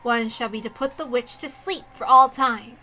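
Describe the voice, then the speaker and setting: A woman's voice: fairly high pitch, moderate pace, sharp tone, soft background music. A woman reading a visual novel aloud as narrator in a dramatic scripted storytelling style.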